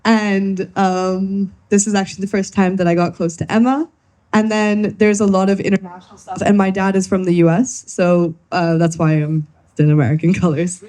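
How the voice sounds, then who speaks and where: A young woman from Kenya speaks to a room of listeners at a workshop. Her voice sits mellow and dark in tone, at a middling pitch. She keeps it friendly and playful, like a quiz host. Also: level tone, moderate pace. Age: young adult. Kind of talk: presenting to a live audience.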